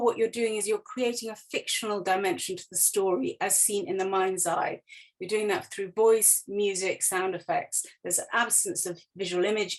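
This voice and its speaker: A woman speaks as a writer explaining her craft in an online presentation. Her pitch sits in a middle range for a woman. The phrasing is conversational and loosely structured. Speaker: writer giving a talk. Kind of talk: informal explanatory presentation over slides. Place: online video call.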